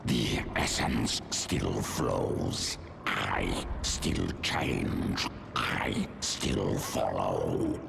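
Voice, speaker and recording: An actor playing a huge, patient primal alien beast in a video game, guttural and growling, speaking slowly in broken, primitive phrases. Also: male voice, big pitch swings, gravelly, full character voice. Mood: menacing calm. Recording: very noisy, rumbling game sound.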